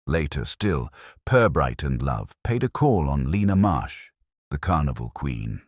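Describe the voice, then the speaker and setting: Very deep-voiced male mystery narrator. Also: big pitch swings, a little slow, dry recording, studio quality. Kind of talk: scripted mystery story narration.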